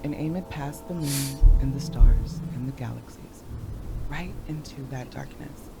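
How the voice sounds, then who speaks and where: A performer playing a contemplative game radio host, delivering a measured, scripted meditation on wonder. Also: gravelly, over loud music. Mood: reflective wonder. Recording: noisy game audio with low rumble.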